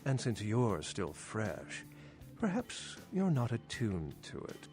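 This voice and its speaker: An actor playing a scholarly, mysterious male vampire historian in a video game, speaking in measured, formal phrases. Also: fairly low pitch, huge pitch swings, over music, exaggerated voice. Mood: cryptic, cautioning.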